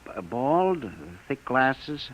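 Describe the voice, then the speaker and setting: An actor playing an earnest, persistent missing persons investigator in an old radio drama, speaking insistently. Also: male voice, mid-range voice, sing-song, takes it slowly. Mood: earnest, uneasy. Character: earnest, persistent missing persons bureau man chasing a mystery. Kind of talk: 1950s radio drama acting. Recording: muffled old radio recording.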